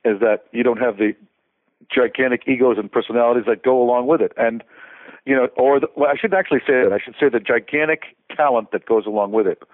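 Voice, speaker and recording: A man's voice: medium pitch, quick pace, dry recording. An older man from Connecticut, a conductor and composer, talking casually as an interview guest, rambling with many restarts and fillers. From Connecticut, USA. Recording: tinny phone-like line.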